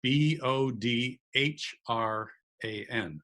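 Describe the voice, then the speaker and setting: A man's voice: medium pitch, drawn-out words, a bit bright. A man from Wisconsin hosting a show and interviewing a guest in a casual chat, laughing often and restarting phrases. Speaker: show host.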